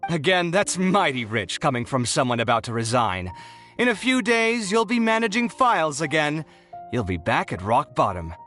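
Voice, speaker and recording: A bright, excitable, indignant young architect. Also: male voice, a little high, normal speed. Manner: dramatic, exasperated, emphatic delivery. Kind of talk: animated video game voice acting. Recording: studio voice over game music. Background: game music.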